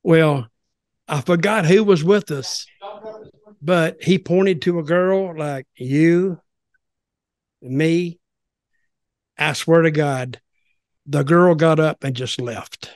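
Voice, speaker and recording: A man's voice: a little high, takes it slowly, pauses often, dry recording. A man in his seventies hosting a podcast, telling stories in a casual chat from a desk microphone. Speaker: podcast host. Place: small home office, indoors. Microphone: desk microphone with headset.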